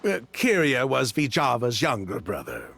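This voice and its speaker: An actor playing a weary, bitter former convict in a video game, telling things with resigned drama. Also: male voice, medium pitch, wildly swooping pitch, normal speed, creaky, fairly bright tone, heavily acted. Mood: explaining.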